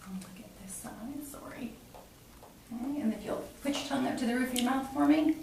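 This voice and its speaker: A woman's voice: sits high, brisk, vocal fry. A middle-aged woman giving a physical exam, politely explaining each step to her patient as she goes. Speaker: clinical examiner demonstrating a physical exam. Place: small cinder-block exam room, indoors. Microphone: camera mic from across the room. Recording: very echoey room, distant camera mic, noisy.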